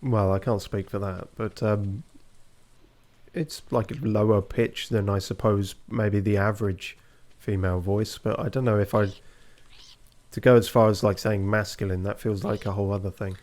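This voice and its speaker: A man's voice: lowish voice, moderate pace. A man hosting a gaming video in casual, chatty commentary, his voice sometimes breaking. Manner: relaxed, chatty, reads viewer comments aloud. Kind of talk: casual let's-play commentary.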